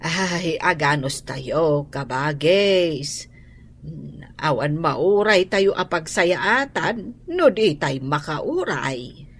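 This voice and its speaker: A husky, slow-spoken anxious Ilocano mother. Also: low-pitched, animated, exaggerated voice. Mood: patient, hopeful. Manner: sighing, exclaiming. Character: anxious, hopeful mother worried for her ailing daughter. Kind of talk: Ilocano radio drama acting.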